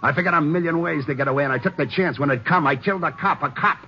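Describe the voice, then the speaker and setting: An American actor playing a desperate, bitter escaped killer in a 1950s radio drama, taunting in rough street slang. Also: male voice, on the high side, quick pace, natural voice. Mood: bitter, menacing. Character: desperate, bitter escaped killer, gutter-raised tough guy. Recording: noisy, dull old radio recording.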